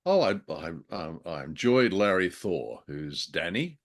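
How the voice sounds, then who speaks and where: A man with a very low voice co-hosts a casual review conversation. He talks in a wordy, hesitant way with a wry touch, feeling his way through his points. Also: very animated, measured pace. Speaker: co-host of a radio drama review show.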